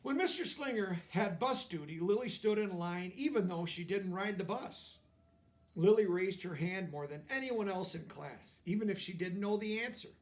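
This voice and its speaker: A man with a notably high voice reads a children's storybook aloud. He is animated and expressive, stopping to explain words and pictures to young listeners. The microphone sits some way from him. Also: normal speed, far from the mic. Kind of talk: expressive read-aloud narration with asides.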